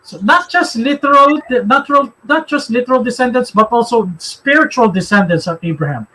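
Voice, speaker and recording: A man with a Filipino accent and a high-pitched voice leads a live religious discussion. He talks haltingly, circling back over his phrases as he explains and takes questions. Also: animated, bright, forceful tone, rough recording. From Philippines. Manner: halting, repeats phrases as he goes.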